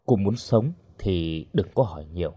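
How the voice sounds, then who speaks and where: A man voicing a cold, taciturn henchman with a hidden kindness, speaking in a gruff, curt way. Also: on the low side, animated, normal speed, mellow. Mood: cold, warning.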